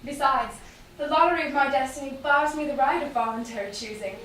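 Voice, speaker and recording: Woman acting a high-voiced poised heiress, echoey. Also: a little fast, a bit bright. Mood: poised, cautioning. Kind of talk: staged reading of Shakespeare. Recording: distant, very echoey room with background noise.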